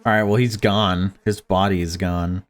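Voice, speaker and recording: A man's voice: deep voice, fairly even intonation, normal speed. A man hosting a playthrough, reading story narration aloud and adding casual commentary. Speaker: let's play host. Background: game music.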